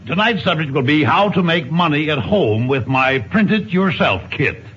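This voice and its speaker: Brazen crackpot professor, animated and high-ish. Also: male voice, normal speed. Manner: brazen, matter-of-fact huckster. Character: brash shady crackpot do-it-yourself professor. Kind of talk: 1950s radio comedy. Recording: muffled, hissy old radio recording.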